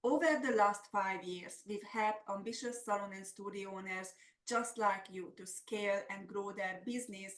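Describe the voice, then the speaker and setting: A woman's voice: medium pitch, normal speed. A middle-aged woman with a Hungarian accent, presenting a semi-scripted business webinar as a coach. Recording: roomy, echoey sound.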